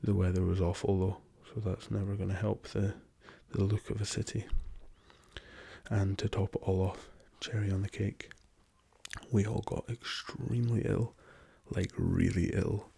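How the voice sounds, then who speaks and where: Soft-spoken hoarse man, low and gravelly. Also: little pitch movement. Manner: soft-spoken, slow and hesitant with many ums, drifting into whispers. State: hoarse from a lingering cough. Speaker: ASMR channel host. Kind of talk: soft-spoken ASMR travel chat.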